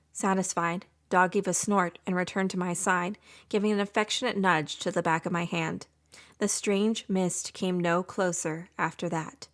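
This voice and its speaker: A woman playing a gentle, earnest young botany graduate who works as an innkeeper, telling her story in a measured, scripted way. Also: lowish voice. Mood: reflective. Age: young adult. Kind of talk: scripted first-person storytelling.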